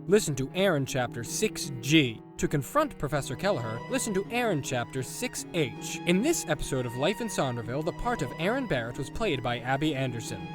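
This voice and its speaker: A man reading scripted announcements and credits as the announcer of an audio drama. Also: fairly high pitch. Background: music.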